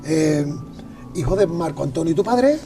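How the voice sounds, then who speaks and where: A man's voice: a little high, animated, moderate pace. A male TV host interviewing a guest, asking short prompting questions and giving encouraging replies. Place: television studio. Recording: distant mic, music underneath.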